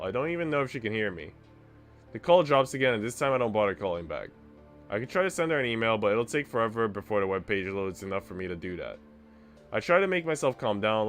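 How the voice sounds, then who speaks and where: Casting: male game streamer reading story narration, lower voice with animated intonation, light music behind. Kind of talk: reading visual novel narration aloud with chat asides.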